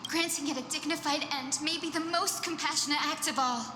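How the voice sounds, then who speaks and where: A woman voicing a gentle, earnest young female healer in an anime-style game, speaking softly and politely. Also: sits high, brisk, background noise, natural voice. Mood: earnest, compassionate. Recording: echoey hall reverb, music behind.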